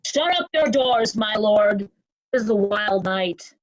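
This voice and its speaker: A woman acts a commanding, cold-hearted noble in Shakespeare. The delivery is slow and deliberate, with a dry, echo-free sound. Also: medium pitch, lively intonation. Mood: cold, dismissive. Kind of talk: theatrical Shakespearean reading.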